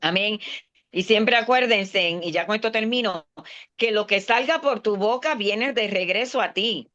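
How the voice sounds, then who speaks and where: A woman's voice: medium pitch, unhurried, a bit bright. Earnest woman pastor preaching, lo-fi sound. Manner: earnest, mixes English words into Spanish. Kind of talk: sermon in a class. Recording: dull lo-fi sound.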